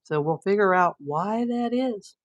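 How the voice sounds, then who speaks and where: A woman's voice: mid-range voice, expressive, a little slow, lo-fi sound. A middle-aged woman hosting a casual livestream, chatting and reading viewer comments while losing her voice. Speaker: livestream host.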